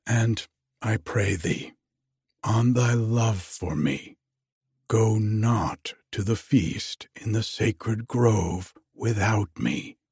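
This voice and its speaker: A man's voice: fairly low pitch, extremely slow, darkish tone, breathy edge, no room sound, studio quality, full character voice. A man voicing an anguished young lover in a storybook tale, pleading fearfully in archaic, tremulous speech.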